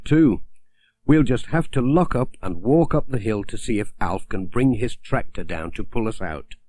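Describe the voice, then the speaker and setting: A man's voice: mid-range voice, mellow, natural voice. A man voicing a friendly, homely village postman in a children's storybook.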